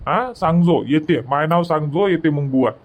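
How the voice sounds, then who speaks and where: A man's voice: fairly high pitch, normal speed, natural voice. A man voicing an easygoing old village grandfather in a cartoon, plain and rustic, with a Varhadi Marathi accent. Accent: Varhadi Marathi, Vidarbha. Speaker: cartoon character voice. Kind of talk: homely cartoon comedy dialogue.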